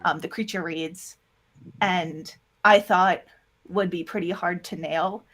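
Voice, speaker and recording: A woman gives a casual interview as a producer and voice actor. Her voice sits at a middle pitch. She explains things in a loose, rambling way, dropping in quoted asides as she goes. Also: fairly even intonation, takes it slowly, a bit bright. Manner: rambling, with self-quoting asides. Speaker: interview guest, audio drama producer and voice actor.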